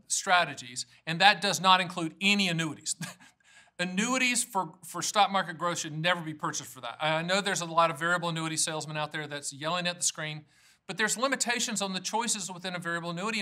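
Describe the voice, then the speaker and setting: Male, American, informal video presenter: high, very forceful voice, emphatic and down-to-earth delivery. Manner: emphatic, folksy, plain-spoken. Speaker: annuity agent hosting an educational video series. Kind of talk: informal explainer presentation to camera.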